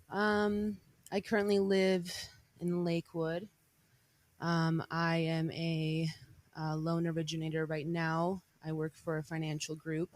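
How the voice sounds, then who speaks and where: Woman, low pitch, young, casual guest, unhurried, filler words, dry close sound. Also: no echo. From Bosnia, raised in Colorado. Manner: relaxed, hesitant with many ums, laughs easily. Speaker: podcast guest. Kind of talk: casual conversation.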